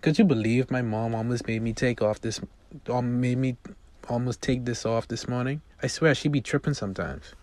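A man voicing a sassy, confident high-school girl with a casual, slangy manner in a script reading. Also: normal speed, darkish tone, no echo, lightly acted. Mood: casual griping.

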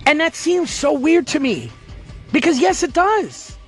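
A man hosting a podcast, talking casually and emphatically in an energetic, motivational way. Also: very high-pitched, unhurried, faint music behind. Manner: emphatic, energetic, pep-talk delivery. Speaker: podcast host.

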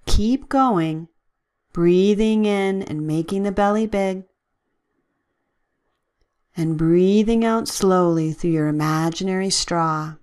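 A woman leading a guided relaxation with gentle, soothing, measured instructions and long pauses. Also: on the low side, clean tone.

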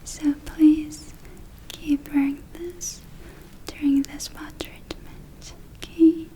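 A woman playing a gentle, caring witch in a whispered ASMR roleplay, speaking softly and soothingly with hesitant phrasing. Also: high voice, little pitch movement, takes it slowly, low-quality recording. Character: gentle caring witch running a magic spa. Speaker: ASMR performer.

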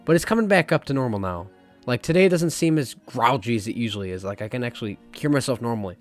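A young man talks through his podcast in a loose, candid ramble, his voice still slightly hoarse from being lost. He sits at a middling pitch. Soft jazz plays faintly behind him. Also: lively intonation, fast talker. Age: young adult. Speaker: podcast host. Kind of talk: casual solo podcast talk. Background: faint jazz music.

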